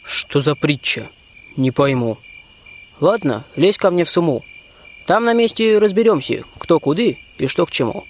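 A man's voice: on the high side, lively intonation, moderate pace, slight background noise, natural voice. A young man playing a plain, good-natured archer who muses in folksy rhyme in a fairy-tale radio play. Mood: puzzled. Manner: folksy, musing recitation.

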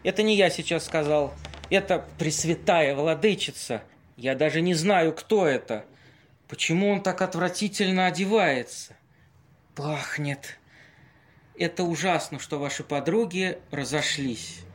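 A man acts out a ghostly legendary seducer trapped in a tramp's body for an audio play. His voice sits fairly high for a man and moves slowly. The speech is florid and lamenting, full of haughty grandeur. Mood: aggrieved, wistful. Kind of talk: amateur audio play acting.

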